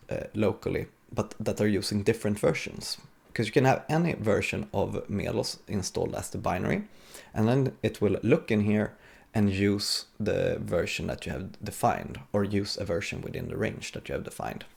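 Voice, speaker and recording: A man giving an informal, explanatory live coding talk as a conference speaker, with a gravelly, husky voice. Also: on the low side, darkish tone.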